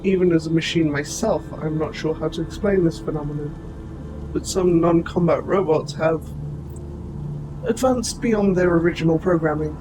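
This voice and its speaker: A man voicing an old military robot, formal, precise and gently protective, in a scripted audio roleplay addressed to the listener. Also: a little high, background noise, lightly acted. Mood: calm, informative. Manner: formal, precise, measured phrasing. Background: music.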